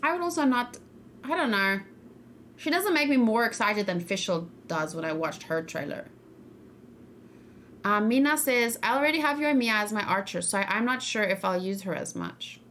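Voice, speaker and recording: A woman's voice: mid-range voice, lively intonation, normal speed, fairly bright tone, a little hiss or hum. A woman hosting a casual livestream discussion, rambling and hesitant as she thinks aloud and talks with her viewers. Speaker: streamer host.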